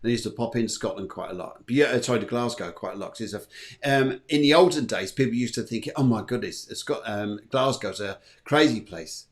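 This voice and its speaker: A man's voice: medium pitch, a little fast. A man hosting a casual live-stream chat, upbeat and chatty, often repeating his words. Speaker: host.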